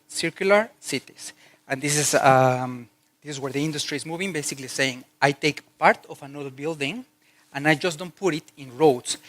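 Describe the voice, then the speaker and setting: A man with a Mexican Spanish accent addresses a conference audience in a loose, chatty way. His pitch sits in the middle for a man. He often pauses on "uh" and restarts his sentences as he goes. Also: a bit bright. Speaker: conference speaker. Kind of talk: business conference talk. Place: conference hall.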